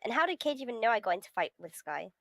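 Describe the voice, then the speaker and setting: A woman's voice: a little high, big pitch swings, quick pace, a bit bright, no room sound, studio quality, heavily acted. A woman reading the part of a beleaguered, sarcastic teenage schoolboy in a visual novel, in a grumbling manner. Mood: brooding. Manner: grumbling, sardonic. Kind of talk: visual novel read-aloud.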